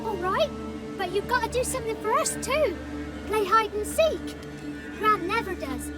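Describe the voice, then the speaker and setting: A woman's voice: extremely high pitch, lively intonation, normal speed, over loud music. A woman playing a plucky, wary young peasant child in a video game, blunt and artless. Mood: bossy, playful. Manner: blunt, artless, rustic grammar.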